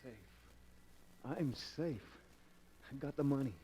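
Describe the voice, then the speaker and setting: An actor playing a desperate, guilt-ridden American crook in melodramatic, slangy 1940s radio style. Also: male voice, medium pitch, slow pace, natural voice. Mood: relieved, brooding. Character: desperate, guilt-ridden locksmith turned criminal. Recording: noisy vintage 1940s radio recording.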